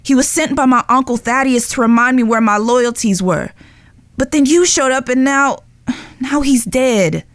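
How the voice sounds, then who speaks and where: A woman playing a guilt-ridden, lovestruck young outlaw spy in an audio drama, speaking earnestly and emotionally with pauses. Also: high-pitched, dry recording, lightly acted. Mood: exasperated, anguished.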